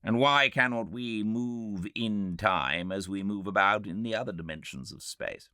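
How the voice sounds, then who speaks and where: A man's voice: on the low side, measured pace, bright, forceful tone, clean tone, dry recording, studio quality, pitched above the performer's own voice. A man voicing a sceptical Victorian medical man with a British accent, arguing emphatically in an audiobook. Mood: challenging. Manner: argumentative, emphatic. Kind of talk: audiobook character dialogue.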